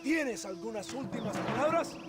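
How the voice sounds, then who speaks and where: High-voiced stern officer. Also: male voice, lively intonation, brisk. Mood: cold, official. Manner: declamatory, formal. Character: stern Mexican army officer proclaiming a death sentence. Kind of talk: video game character acting. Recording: very loud music behind.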